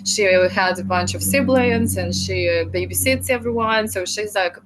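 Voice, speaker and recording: A woman with a Russian accent speaks as a guest on an interview show, recounting her experience as a mother. She talks softly and a little haltingly, pausing to find words and laughing often as she goes. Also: medium pitch, slight background noise, poor audio. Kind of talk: conversational interview storytelling.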